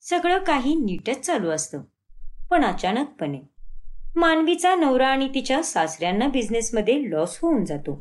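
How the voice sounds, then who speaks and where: A woman's voice: sits high, sing-song, moderate pace. A woman narrating a scripted moral story.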